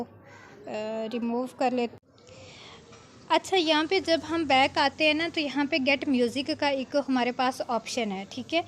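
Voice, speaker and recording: A woman giving an informal software tutorial, explaining step by step with frequent check-in tags. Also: sits high, slight background noise. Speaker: video editing instructor.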